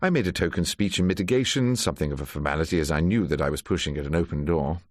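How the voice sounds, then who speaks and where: An actor playing a wry, sharp-witted ageing English defence barrister in a radio drama, droll and courtly. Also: male voice, deep voice, quick pace, close to an everyday voice. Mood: wry narration. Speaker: lead character and narrator. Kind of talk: radio drama acting and narration.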